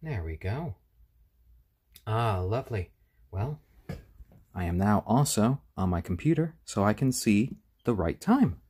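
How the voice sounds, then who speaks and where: Gentle, husky-voiced man. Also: lowish voice, lively intonation, a little slow, long pauses. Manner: gentle, soft-spoken, talks to chat and chicks. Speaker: live stream host. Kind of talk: casual live stream chat. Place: home room. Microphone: handheld phone.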